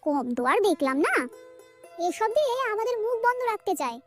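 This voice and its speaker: A woman's voice: very high voice, big pitch swings, a little fast, faint music behind, voice pushed up. A woman voicing a gossipy, suspicious neighbour in a village cartoon, insinuating and know-it-all in manner. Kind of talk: cartoon story acting.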